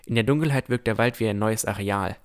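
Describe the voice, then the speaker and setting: Ein Mann spielt einen vorsichtigen jungen Entdecker, der sein Fantasy-Abenteuer bedacht und ernsthaft in der Ich-Form erzählt. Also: male voice, on the low side, quick pace, smooth, natural voice. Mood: calm narrating. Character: cautious, thoughtful young male explorer narrating his adventure. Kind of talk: first-person scripted narration in fantasy audio drama.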